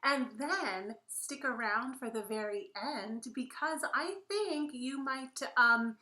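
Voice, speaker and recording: A woman reading a picture book aloud to children in an expressive way, speaking to her young listeners directly. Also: high-pitched, slow. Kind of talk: animated children's story read-aloud. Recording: roomy echo.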